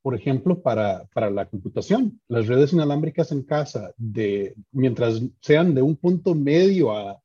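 A young man with a Costa Rican accent, explaining his work as an interview guest in a halting, think-aloud way. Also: medium pitch, lively intonation, warm tone, breathy edge, dull audio. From San Carlos, Costa Rica. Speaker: podcast guest, doctoral student in engineering.

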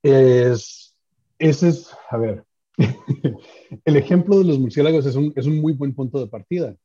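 Husky Costa Rican man explaining, muffled audio. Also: mid-range voice, a little slow, warm tone. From San Carlos, Costa Rica. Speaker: podcast guest, doctoral student in engineering. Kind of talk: explanatory interview talk.

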